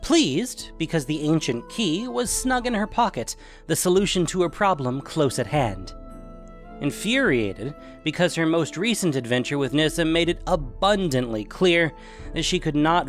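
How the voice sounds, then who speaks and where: A man narrating a scripted fantasy story dramatically, with expressive, deliberate phrasing. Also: on the high side.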